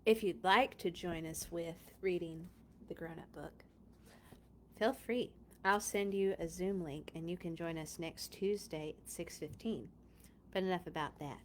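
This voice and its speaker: Woman, children's read-along host, expressive storybook narration, chatty playful manner, dramatic emphasis, casual asides. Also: fairly low pitch, a little slow, slight background noise.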